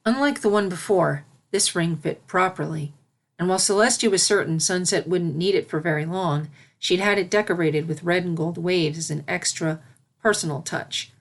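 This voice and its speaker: A woman narrates a story from a script in a slightly low voice. She reads fan fiction aloud in a storybook style. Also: normal speed.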